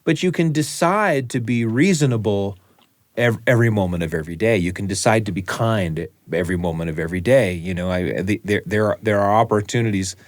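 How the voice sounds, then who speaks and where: A middle-aged American man hosting and storytelling, speaking in an earnest, deliberate, reflective way. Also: on the low side, dry recording. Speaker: host and storyteller. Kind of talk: reflective conversation and personal storytelling.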